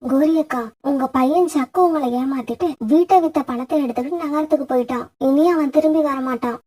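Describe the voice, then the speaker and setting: A woman voicing a kindly, sympathetic female sparrow, earnest and husky, in an animated bird fable. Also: high voice, brisk, close to an everyday voice.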